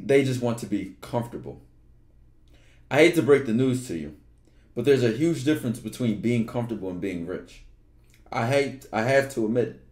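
A young man streaming from his bedroom on a headset, reading a book aloud with relaxed, slangy asides. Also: lowish voice, roomy sound. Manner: relaxed, slangy, loud outbursts when joking.

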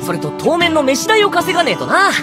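An actor voicing a carefree boyish knight captain in an animated fantasy game, breezy, casual and a little bossy. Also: male voice, very high voice, fairly quick, over music, full character voice. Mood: upbeat, commanding.

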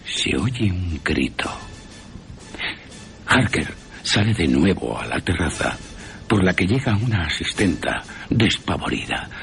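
A Castilian Spanish man tells a radio horror tale with theatrical suspense. His deep, creaky voice moves slowly over faint music. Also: big pitch swings, fairly bright tone. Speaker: narrator. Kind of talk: dramatic radio horror storytelling.

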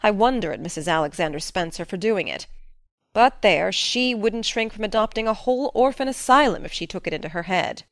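A woman's voice: medium pitch, expressive, brisk, dry recording, clean studio sound, lightly acted. A woman playing a stern, practical middle-aged farm woman in a dramatic audiobook, talking in a plain, folksy, matter-of-fact way. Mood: firm. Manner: plain-spoken, matter-of-fact, folksy old-fashioned phrasing.

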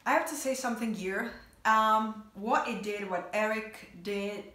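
A woman's voice: mid-range voice, a little slow, pushed and bright. A woman in her twenties speaks English with an Italian accent. She talks casually to her viewers as a vlogger reacting to a video, her mid-range voice carrying the echo of a bedroom. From Italy. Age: 20s. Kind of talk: casual reaction commentary to camera. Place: small bedroom indoors, sitting on bed. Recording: roomy, echoey bedroom sound.

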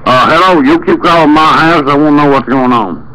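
A man's voice: fairly high pitch, fairly quick. Folksy rambling man, noisy recording. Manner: folksy, rambling, repetitive, exclaiming. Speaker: prank caller. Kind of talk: phone prank call, put-on indignant complaining. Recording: noisy phone prank recording, steady background noise.

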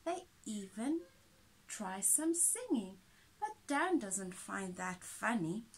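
A woman's voice: medium pitch, sing-song, takes it slowly, breathy edge. A woman in her thirties reading a picture book aloud to children as a teacher, expressively, with little asides. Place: small indoor room with soft toys.

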